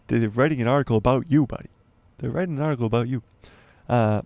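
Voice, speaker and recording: A man hosting a casual livestream, reading an article aloud and commenting as he goes, stumbling and correcting himself, his voice going hoarse. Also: medium pitch, mellow, dry recording. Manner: rambling, stumbles and self-corrects, laughs at himself. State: losing his voice, clearing throat. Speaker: YouTube livestream host.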